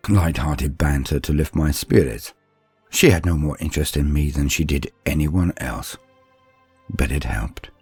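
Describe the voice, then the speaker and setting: A man playing an earnest, reflective young psychic apprentice, telling his story in a scripted, dramatic and measured first-person narration. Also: a bass voice, mellow, husky, dry recording. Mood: wistful.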